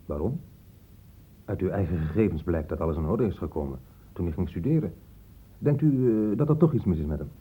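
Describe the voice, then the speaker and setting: An actor playing a dry, worldly police inspector in a Dutch radio play, measured and wry. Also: male voice, low-pitched, lively intonation, breathy edge, full character voice. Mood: sceptical, compliant. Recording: muffled, dull old radio recording.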